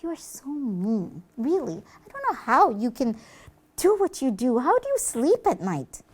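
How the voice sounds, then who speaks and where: Casting: female presenter for a children's faith puppet show, expressive intonation, explains things and gently scolds. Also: high voice, unhurried, slightly rough. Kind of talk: conversational religious teaching for children.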